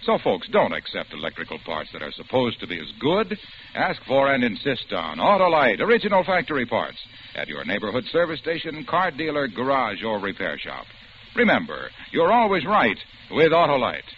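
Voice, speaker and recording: A man announcing and reading sponsor copy on an old radio broadcast, in a brisk, salesy style. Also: medium pitch, muffled recording, a little hiss or hum.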